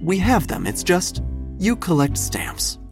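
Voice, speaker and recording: An actor voicing a rambling, apologetic small-town hobby shop advertiser with self-undercutting sales patter in a comic audio drama. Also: male voice, high voice, big pitch swings, slow pace, music in the background, voice pushed up, heavily acted. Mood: apologetic, salesy.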